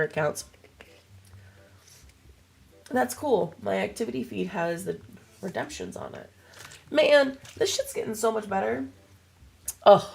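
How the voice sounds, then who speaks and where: A young woman streaming a game, chatting casually to her viewers with a voice still hoarse and froggy from a cold, rambling and swearing freely. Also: on the low side. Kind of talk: casual livestream chat while gaming.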